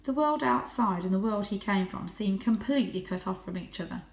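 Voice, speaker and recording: A woman's voice: medium pitch, brisk. Woman reading a children's story, echoey room. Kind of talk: children's audiobook narration. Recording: roomy, echoing indoor sound.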